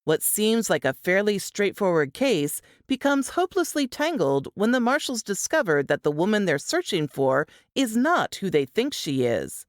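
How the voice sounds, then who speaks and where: A woman narrates a scripted book blurb. Her pitch moves in a lively way around a middle register, and the recording is close and dry, free of room sound. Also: moderate pace, hardly any pauses, no room sound.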